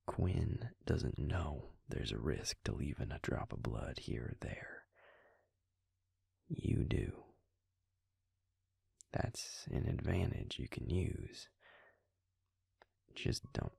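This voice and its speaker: A man playing a worried, protective, gruff but caring vampire in an intimate audio roleplay, with a breathy, gravelly murmur and a halting, earnest, pleading manner. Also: extremely low pitch, lively intonation, darkish tone, dry recording, studio quality, heavily acted. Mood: exasperated, pleading.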